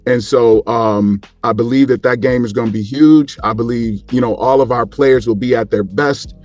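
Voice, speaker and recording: Halting, mid-pitched man talking sports. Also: steady tone, darkish tone, soft background music. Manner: halting, repeats words as he builds his points. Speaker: panel guest. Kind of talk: casual sports chat.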